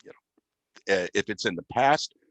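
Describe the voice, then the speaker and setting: A man giving an unscripted software demonstration as a guest, explaining step by step with halting, mid-phrase pauses. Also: fairly low pitch, lively intonation, no room sound, poor audio. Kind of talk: unscripted software demo walkthrough.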